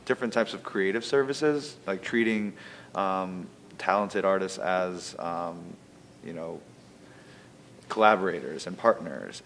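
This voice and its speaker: Deep-voiced man, arts organizer in interview, loose rambling delivery, faint background hiss. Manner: rambling, heavy use of fillers like you know and um. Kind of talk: interview answer.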